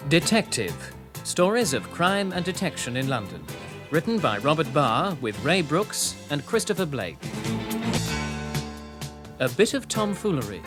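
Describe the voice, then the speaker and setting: A man's voice: on the high side, big pitch swings, unhurried, long pauses. A man announcing a radio drama's titles from a script. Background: music.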